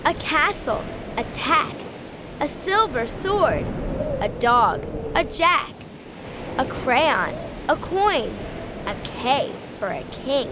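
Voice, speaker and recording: High-pitched, animated woman narrating a children's game. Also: slow, background noise. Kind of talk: scripted children's game narration, rhyming riddles.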